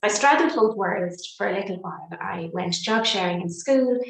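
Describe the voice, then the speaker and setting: A woman's voice: medium pitch, lively intonation, normal speed, roomy sound, poor audio. An Irish woman in her fifties, a psychotherapist and coach, talking fluently and expressively as an interview guest, with folksy sayings. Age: 50s. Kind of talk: reflective interview talk.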